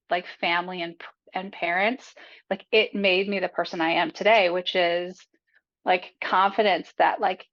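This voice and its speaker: A young adult woman speaking as a podcast guest in a candid, casual way, full of fillers and frank about her life. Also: mid-range voice. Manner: chatty, filler-heavy, frank and profane. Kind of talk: candid casual interview talk. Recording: dull lo-fi audio.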